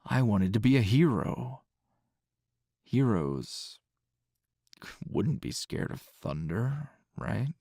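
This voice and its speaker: A man acts out a protective, gently teasing boyfriend in an intimate ASMR roleplay. His voice is low and thick with gravelly fry, breathy around the edges. He speaks softly and slowly, leaving pauses, as if lulling a lover to rest. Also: sing-song, no echo, clean studio sound, full character voice. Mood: wry, reminiscing. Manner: slow, soft-spoken, with pauses and soft chuckles. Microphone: close, dry microphone.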